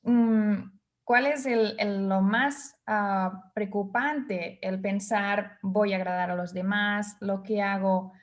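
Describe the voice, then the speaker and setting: A woman's voice: medium pitch, steady tone, slow, dull audio. A woman guest, hesitantly searching for words as she describes her nerves. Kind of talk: conversational chat.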